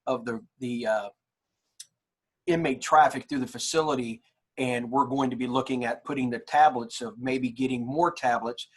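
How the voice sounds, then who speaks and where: A man speaking informally as a county official on a conference call, halting and often repeating his words. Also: mid-range voice, husky. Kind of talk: informal meeting question.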